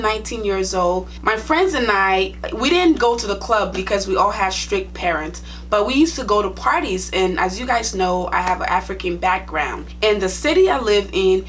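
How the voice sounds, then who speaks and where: A woman's voice: mid-range voice, fairly bright tone, slight background noise. A young woman with a Liberian accent, a nursing vlogger talking to her viewers in a chatty, direct, plain-spoken way. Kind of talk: casual storytime vlog.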